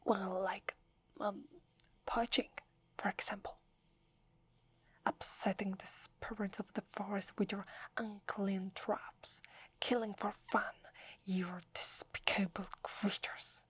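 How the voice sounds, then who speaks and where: A woman's voice: medium pitch, level tone, slow pace, husky. A woman with an Italian accent voicing a proud, wary female werewolf who guards a holy forest, speaking in an accusing whisper for an ASMR roleplay. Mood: scornful. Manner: scornful, accusing.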